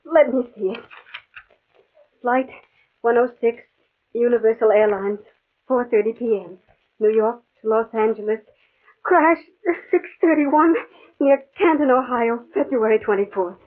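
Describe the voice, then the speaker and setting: A woman's voice: on the high side, measured pace, long pauses, lightly acted. A woman playing an anxious, conscientious confidante in a 1950s radio drama, earnest and urgent. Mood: uneasy, hushed disbelief. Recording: tinny, band-limited old radio recording.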